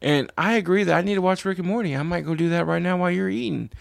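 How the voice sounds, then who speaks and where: A man in his forties hosting a casual podcast, rambling along with restarts and wry asides. His voice is gravelly and sits a little high for a man, recorded dry and close. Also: racing, dry recording. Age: 40s. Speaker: podcast host.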